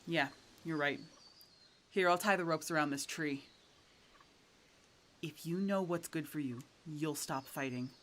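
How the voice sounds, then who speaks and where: A woman's voice: low-pitched, measured pace, long pauses, fairly bright tone. A woman playing a hard-bitten, irritable poacher huntress in an audio roleplay, curt, sardonic and threatening. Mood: cold, threatening.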